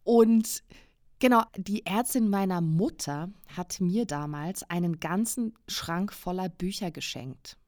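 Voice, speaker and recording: A woman's voice: lowish voice, takes it slowly, darkish tone, no echo, clean studio sound. A woman in her thirties, a native English speaker from South Africa, hosting a casual interview in a chatty, enthusiastic way. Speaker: podcast host and editor.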